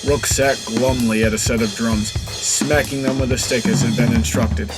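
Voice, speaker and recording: A man's voice: medium pitch, fairly even intonation, normal speed, loud music behind the voice. A man reading scripted story narration as the narrator of a comic tale.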